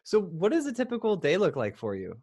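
Young male podcast host, home studio mic, warm and affirming interviewer. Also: high-pitched, huge pitch swings, fairly quick, husky. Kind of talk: casual interview. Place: home room, indoors. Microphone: studio microphone with headphones.

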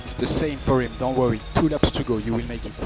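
A man's voice: mid-range voice, fast. A man working as a race engineer, giving short calls over team radio. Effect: team radio transmission. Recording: radio transmission under music, engine noise.

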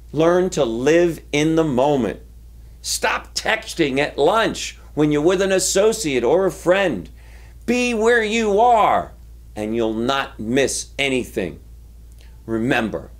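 Middle-aged man, motivational coach, unhurried pace, lively swooping intonation, emphatic delivery. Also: fairly high pitch. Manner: emphatic, deliberate delivery with pauses. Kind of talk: motivational talk to camera. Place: indoors, room lined with bookshelves.

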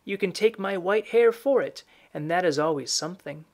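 A storybook crone, as a male narrator puts her on, old and knowing. Her voice sits raised and high, and she speaks in measured, instructing phrases like someone who drives a bargain. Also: animated.

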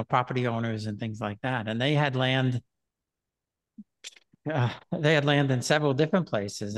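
Older male host, unhurried and hesitant, speaking through a headset on a video call. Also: medium pitch. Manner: rambling, hesitant with many "um" and "uh". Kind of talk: casual conversation.

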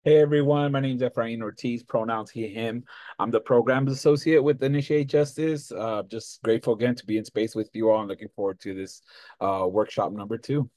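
A man's voice: mid-range voice, fairly even intonation, a little fast, a little mellow, no room sound. A man on a video call introducing himself as programs associate, easygoing and grateful. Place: room at home.

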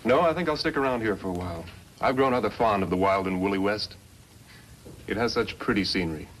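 A man's voice: lowish voice, a little fast, fairly bright tone, natural voice. Man playing an earnest young western hero. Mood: relieved, friendly. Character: earnest, plucky young man, indignant western mine heir. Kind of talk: 1950s western radio acting. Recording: noisy old 1950s radio recording.

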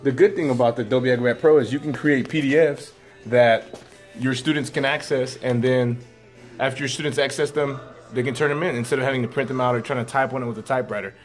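Upbeat man giving a classroom software demo. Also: medium pitch, fairly even intonation, quick pace, soft background music. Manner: upbeat, folksy, steps through clicks aloud. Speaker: teacher leading a staff tech demo. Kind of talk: casual classroom screen demo. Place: school classroom with computers.

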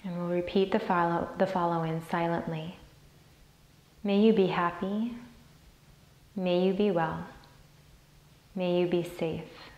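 A woman leading a guided meditation as a teacher, soothing and deliberate as she repeats phrases. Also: on the low side, steady tone, a little mellow, muffled recording. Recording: roomy, echoey sound.

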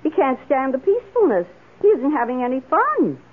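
An actress playing a wry, wistful middle-aged schoolteacher and mistress, expressively, in an old-time radio drama. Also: female voice, high voice, sing-song, a little fast. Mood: musing. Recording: muffled old radio broadcast.

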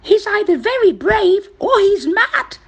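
Woman doing a shrill, excitable little monkey. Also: a little slow, full character voice. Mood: amazed. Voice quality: high-pitched, shrill. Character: small chattering excitable monkey.

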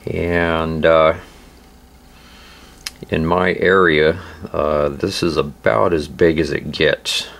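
Male instructional host, very deep and hoarse, slow halting delivery, informal. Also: steady tone, a bit bright. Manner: halting, hesitant delivery with frequent pauses. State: hoarse, recovering from laryngitis. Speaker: host of a survival channel.

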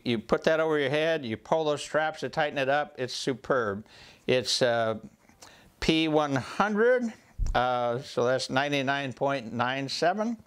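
A man's voice: medium pitch, a bit bright, clean tone. Plainspoken older man in a workshop. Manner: plainspoken and folksy, with frequent "uh" pauses. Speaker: woodworking channel host. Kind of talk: casual product overview. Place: home woodworking shop, indoors.

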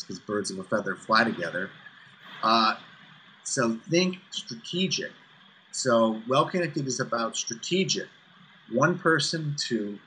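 A man in his fifties explaining things emphatically and deliberately as an interview guest on a video call. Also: fairly low pitch, pushed and bright, slight background noise, rough recording. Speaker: guest, author and executive coach. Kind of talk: interview answers on business networking. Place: small furnished room indoors, on a video call. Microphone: video call, computer at desk distance.

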